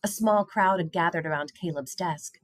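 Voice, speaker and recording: A woman reading a children's picture book aloud in an expressive storytelling style. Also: fairly low pitch, normal speed, no room sound.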